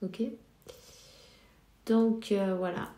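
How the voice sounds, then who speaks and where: A woman's voice: medium pitch, steady tone, mellow, roomy sound. A woman with a cold giving casual spiritual advice as a card reader, hesitant and full of fillers. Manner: slow, hesitant, many fillers. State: has a cold.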